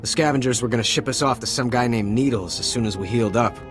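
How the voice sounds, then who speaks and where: A man's voice: mid-range voice, quick pace, close to an everyday voice. An actor playing a cocky young male ensign who jokes sarcastically and stammers when scolded, in a game cutscene. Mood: wry. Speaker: rescued junior officer. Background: faint music.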